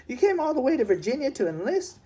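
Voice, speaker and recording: A man performs a stern, gray-bearded sergeant from a historical storybook. He is brusque and folksy, firing off challenges in a raised pitch with a soft-edged, mellow tone. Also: very animated, quick pace, breathy edge, exaggerated voice. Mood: gruff, challenging. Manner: brusque, questioning, folksy grammar. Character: stern gray-bearded army sergeant, gruff but amused.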